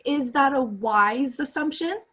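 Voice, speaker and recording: A woman's voice: high voice, measured pace, bright, forceful tone. A young woman playing an earnest, improvising radio field reporter in a comedic radio play. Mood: probing. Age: young adult. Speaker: student actor. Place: small bedroom at home. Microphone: laptop on a video call.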